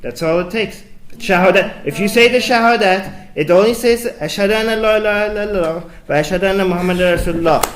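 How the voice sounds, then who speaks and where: A man speaking quickly at a fairly high pitch, restating his point over and over. The sound is roomy, taken in a large lecture hall. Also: slight background noise. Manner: fast, repeats himself when interrupted, insistent. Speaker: panel speaker. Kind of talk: heated Q&A debate. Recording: echoey hall.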